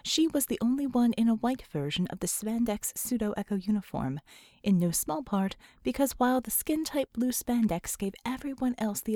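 A woman's voice: medium pitch, mellow, dry recording, clean studio sound. A woman narrating scripted fiction as an audiobook reader.

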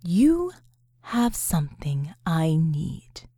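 A woman voicing an ancient, calculating general's soul in a woman's body for an audiobook, hushed, quiet and menacing. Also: low-pitched, big pitch swings, very slow, husky, no room sound, clean studio sound, pitched below the performer's own voice, exaggerated voice. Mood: quietly threatening. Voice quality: hushed, whispery. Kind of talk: audiobook character voice.

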